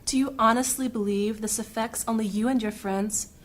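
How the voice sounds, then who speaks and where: A woman's voice: fairly high pitch, fairly even intonation, moderate pace, close to an everyday voice. A woman playing a poised, strong-willed guild noblewoman in a fantasy audio drama, formal and articulate in her insistence. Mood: insistent, determined.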